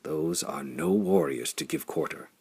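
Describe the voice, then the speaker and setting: Casting: male narrator as bold pulp-adventure fighting hero, gravelly, urgent, commanding, pushed up from a low register. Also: animated, unhurried, darkish tone, exaggerated voice. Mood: forceful, determined. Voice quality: raspy.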